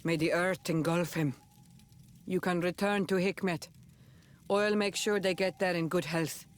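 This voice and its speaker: A man's voice: high voice, fairly even intonation, measured pace. An actor playing a solemn, caring male healer of a native clan in a fantasy game. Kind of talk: scripted fantasy game acting.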